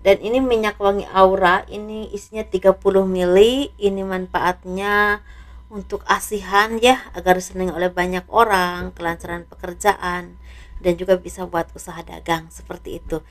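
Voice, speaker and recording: A slightly hoarse woman doing a casual tarot reading, speaking softly and letting her phrases trail off. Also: medium pitch, fairly even intonation, normal speed. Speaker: tarot reader.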